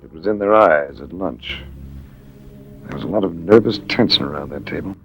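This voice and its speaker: A man's voice: sits low, moderate pace, a little hiss or hum. A man playing a cool, wry American adventurer-investigator in 1940s radio drama style, speaking in a clipped, dry, hardboiled way. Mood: grim narrating. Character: cool, wry American male adventurer-investigator, narrating his own case. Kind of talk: 1940s radio drama acting with first-person narration.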